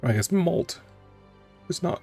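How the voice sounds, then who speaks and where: A man's voice: a little high, lively intonation, slow pace, vocal fry, dark tone. A male streamer giving casual game commentary in musing, questioning asides. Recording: faint game music behind.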